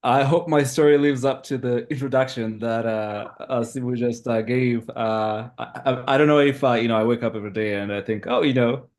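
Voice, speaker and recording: A man from Zimbabwe in his thirties, a podcast guest who talks in a hesitant, reflective way with many pauses. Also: medium pitch, fairly even intonation. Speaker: interview guest. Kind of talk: casual interview talk.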